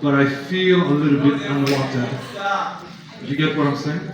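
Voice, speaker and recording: An actor in a live stage play playing a frustrated boyfriend who pleads insistently and repeats himself. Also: male voice, fairly high pitch, normal speed, a crowd in the background, lightly acted. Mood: pleading, frustrated. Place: live theatre stage, large indoor room. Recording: echoey hall, distant mic, dull, audience noise.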